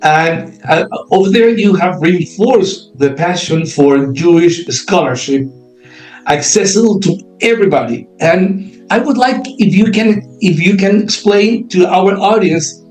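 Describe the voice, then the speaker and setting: A man hosting a podcast interview, talking casually and haltingly with many fillers. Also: sits high, expressive, darkish tone, echoey room, soft background music, low-quality recording. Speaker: podcast host.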